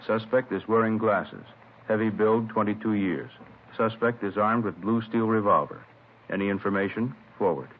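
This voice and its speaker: Guy doing flat police bulletin reader, lowish, clipped phrases, tinny old radio. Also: moderate pace, lightly acted. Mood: impersonal, clipped. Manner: clipped, list-like bulletin reading. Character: mechanical, impersonal male police teletype dispatcher. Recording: thin, faintly hissy old radio recording.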